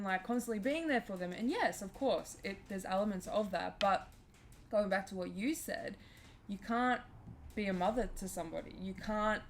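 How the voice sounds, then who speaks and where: Young female podcast host, candid and loose, light background noise. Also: mid-range voice. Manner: frank, swears casually, trails off and restarts. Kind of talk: casual podcast conversation.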